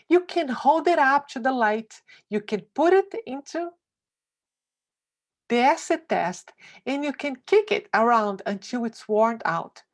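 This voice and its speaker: A woman reading a motivational essay aloud, expressive and emphatic. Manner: slow, emphatic, with wide swings of pitch. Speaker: reader of a success essay.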